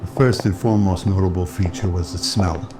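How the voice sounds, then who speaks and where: Male radio drama narrator, deep and flat in delivery, loud music bed underneath. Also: fairly quick.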